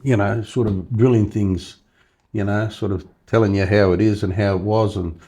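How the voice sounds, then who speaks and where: Rambling older Australian man, lowish voice. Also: fairly even intonation, moderate pace, warm tone, husky. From Western Australia. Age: 70s. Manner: rambling, many fillers and restarts. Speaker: interview guest. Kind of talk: casual storytelling interview.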